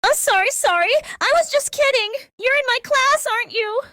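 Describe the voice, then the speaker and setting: A woman's voice: very high-pitched, normal speed, pushed and bright, slight background noise. A woman voicing a chatty, self-assured schoolgirl in a manga dub. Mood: bubbly, matter-of-fact.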